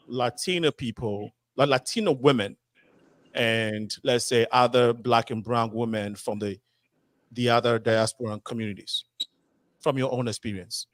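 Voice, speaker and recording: A man's voice: mid-range voice, a bit bright, dry recording. A man hosting a panel talk show, asking long, rambling questions full of fillers. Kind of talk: panel discussion moderating.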